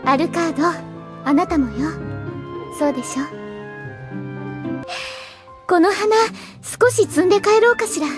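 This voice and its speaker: A bubbly young heroine of a fantasy radio drama. Her girlish voice sits extremely high and moves along briskly, with loud music playing underneath. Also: expressive. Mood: sweet, delighted. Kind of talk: Japanese radio drama acting.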